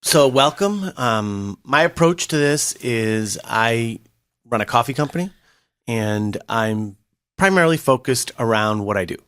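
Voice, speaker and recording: A man's voice: medium pitch, expressive, a little slow, fairly bright tone, clean tone, no echo, clean studio sound. A man co-hosting a podcast, asking questions in a playful, hesitant way. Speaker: podcast co-host. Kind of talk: casual conversation.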